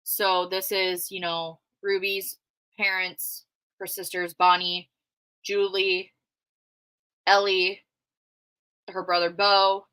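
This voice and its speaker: A loud, outspoken woman talking through a livestream in a casual, conversational way and answering her chat as she goes. Her voice is bright and forceful, and it sits close and dry with no room sound around it. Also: medium pitch, flat delivery, slow, long pauses, clear, resonant tone, dry recording, rough recording. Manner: conversational with frequent fillers. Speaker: true crime commentator reading live chat.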